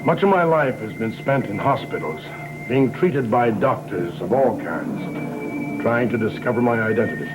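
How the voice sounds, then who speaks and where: An actor playing a courtly, enigmatic older father in a gothic soap opera, speaking formally and with measured courtesy. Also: fairly low pitch, expressive, lightly acted, poor audio. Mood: measured, probing. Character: courtly, enigmatic old father returned after long absence. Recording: vintage TV soundtrack, loud music.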